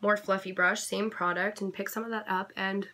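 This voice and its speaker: A young woman giving a casual makeup tutorial in a chatty, gentle instructional way, her voice a little off because she is coming down with something. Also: medium pitch, level tone, normal speed. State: slightly sick, voice a bit off. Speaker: makeup vlogger.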